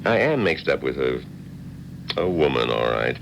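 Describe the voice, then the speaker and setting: Folksy, wry small-town doctor, extremely deep and creaky, on a hissy seventies radio recording. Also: male voice, very animated, normal speed, fairly bright tone, full character voice. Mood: evasive. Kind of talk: 1970s radio drama acting. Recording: noisy 1970s off-air radio recording.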